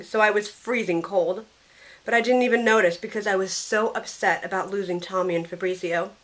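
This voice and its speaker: A woman's voice: medium pitch, a bit bright, lightly acted, poor audio. A woman playing a grieving young female survivor, narrating her story earnestly in an amateur audio drama. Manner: earnest storytelling, tearful in dialogue.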